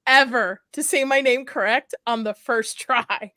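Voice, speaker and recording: A woman hosts a relaxed online show, chatty and quick to giggle as she greets listeners. Her voice is high and very bright. The sound is dry, with no room echo. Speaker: podcast host. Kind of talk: casual chat, reading out chat names.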